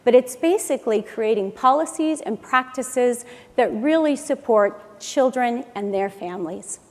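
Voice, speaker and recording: A woman with a fairly high voice speaks earnestly and upbeat, at times restarting a phrase. Her words ring out through a large conference hall with a long echo. It sounds like a prepared talk from a stage. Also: expressive, unhurried, a little hiss or hum. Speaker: employer presenting at a conference. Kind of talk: prepared conference talk, then answering a question.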